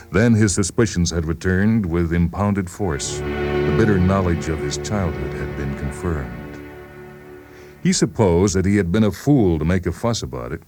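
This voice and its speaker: A man narrating a literary short story in a deliberate, brooding way with dramatic pauses. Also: low voice. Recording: music behind the voice. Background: music.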